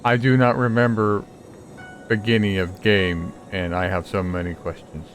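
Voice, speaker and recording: A man with a fairly low voice talks casually as he plays a video game. He thinks aloud in an informal, sometimes sweary way, while clear game music plays behind him. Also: flat delivery, normal speed. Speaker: gamer recording a playthrough. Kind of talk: casual reactive gameplay commentary.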